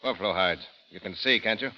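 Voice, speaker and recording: An actor playing a gruff, surly, crooked frontier trader in old-time radio drama style. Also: male voice, fairly low pitch, a little fast, bright, forceful tone. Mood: surly, hurried. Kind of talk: 1940s radio acting. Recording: muffled, echoing, faintly noisy old radio.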